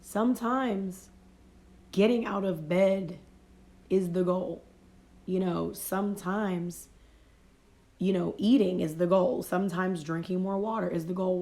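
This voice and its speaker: Mellow-voiced woman coaching with a cold. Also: on the low side. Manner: conversational, reflective, repeats and rephrases. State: has a cold, voice fading. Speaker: life coach. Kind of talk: motivational casual talk. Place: home livestream. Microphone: phone livestream.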